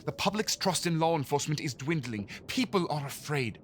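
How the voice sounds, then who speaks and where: An actor playing a harried, exasperated Victorian police inspector in an audio drama, gruff and formal. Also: male voice, a little high, animated, a little fast, a little hiss or hum, lightly acted. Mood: frustrated, defensive.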